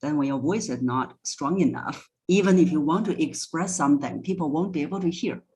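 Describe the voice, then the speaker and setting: A woman's voice: sits low, brisk, mellow. A woman speaking as a guest voice coach, explaining her points in an interview.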